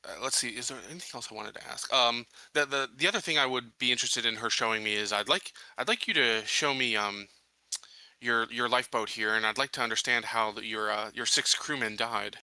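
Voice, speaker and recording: A man playing a tabletop roleplaying game, improvising in character with halting, formal wording. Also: medium pitch, pushed and bright, no echo, rough recording.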